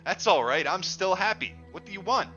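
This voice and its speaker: A man's voice: sits high, animated, moderate pace, sharp tone, pitched above the performer's own voice. A man voicing a friendly, obliging tree spirit in a storybook tale, eager to help. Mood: eager, helpful. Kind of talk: acted audiobook dialogue. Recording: dull lo-fi audio, music underneath.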